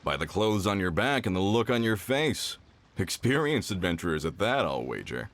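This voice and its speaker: A man's voice: deep voice, very animated, normal speed, a bit bright, heavily acted. A man playing a shrewd, self-assured middle-aged foreman in a video game, with a folksy, confident manner. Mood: jovial. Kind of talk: video game character acting. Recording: background music under the voice.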